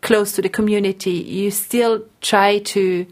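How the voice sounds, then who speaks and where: A woman's voice: medium pitch, steady tone, measured pace. A woman researcher explaining her work in an interview, thoughtfully repeating phrases as she forms her points.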